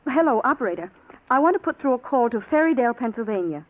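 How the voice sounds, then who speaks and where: An actress playing a trusting, fretful, insistent wife in 1940s-style radio acting on a thin vintage recording. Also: female voice, a little high, sing-song, quick pace, narrow, tinny audio. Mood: worried.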